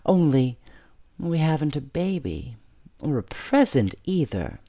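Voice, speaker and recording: A man's voice: a little high, sing-song, measured pace, vocal fry, dark tone, pitched above the performer's own voice, heavily acted. Storybook role: eager young knitting pupil, a sweet wondering girl done by a male reader, with swinging intonation. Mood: eager, hopeful. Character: eager, kind-hearted big girls in knitting class.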